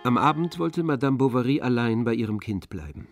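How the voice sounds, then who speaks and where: A man narrating a literary German radio drama in a gravelly voice. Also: mid-range voice, animated, brisk. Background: faint music.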